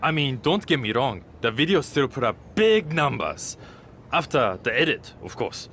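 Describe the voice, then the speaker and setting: A cocky young male wrestler from a video game story, vain and self-absorbed. His mid-pitched delivery swings expressively with smug dismissiveness. There is some noise behind him. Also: very animated, normal speed, a bit bright. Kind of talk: video game cutscene acting.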